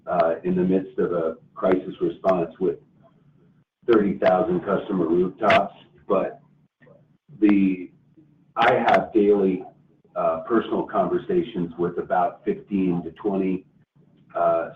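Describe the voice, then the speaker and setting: Male corporate executive, low register, measured and careful, far from the mic on a faintly noisy conference line. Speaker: company executive answering investor questions. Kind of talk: earnings call answers. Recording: roomy, distant phone-like line with faint noise.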